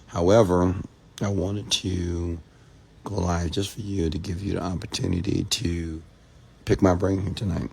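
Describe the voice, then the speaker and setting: A man from Texas, hoarse after a seminar, hosting a late-night livestream, greeting viewers by name and giving motivational talk. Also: sits low, level tone, measured pace, a little mellow. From Texas, USA. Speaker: entrepreneur hosting a live stream.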